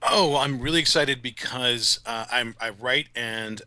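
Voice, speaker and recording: A man's voice: mid-range voice, a little slow, pushed and bright, no room sound. A man speaking as a guest writer in a casual podcast discussion, articulate and enthusiastic. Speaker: guest, writer of audio dramas.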